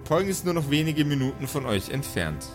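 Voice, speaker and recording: A man's voice: fairly high pitch, big pitch swings, a little fast. A man narrating an improvised tabletop game as game master, in a playful, colloquial way. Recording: music behind the voice. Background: music.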